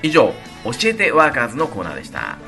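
A man's voice: mid-range voice, huge pitch swings, quick pace, gravelly, pushed and bright, faint music behind. A man co-hosting a radio show as a band leader, talking in a conversational style.

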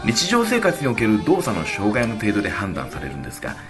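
A man's voice: lowish voice, wildly swooping pitch, fast talker. A man speaking politely and conversationally as a radio show's leader and commentator. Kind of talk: radio talk and explanation. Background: faint music.

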